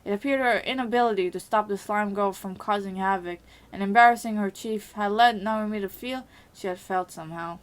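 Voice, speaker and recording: A woman's voice: mid-range voice, brisk, few pauses. A woman, an amateur voice actor, reading first-person visual novel narration steadily, with small slips and restarts.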